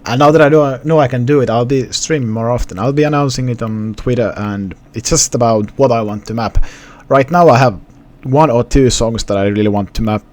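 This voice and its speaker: A young man from Finland with a softened Finnish accent, chatting casually to his live-stream viewers with many restarts. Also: medium pitch. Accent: Finnish, partly suppressed. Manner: rambling, restarts, talks to chat.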